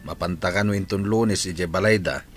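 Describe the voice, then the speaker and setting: A man playing a chatty, plain-spoken village family man in an Ilocano radio drama. His voice is fairly low, with a rural Filipino accent. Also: fairly even intonation, normal speed, slightly rough. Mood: pleased. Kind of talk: radio comedy drama acting.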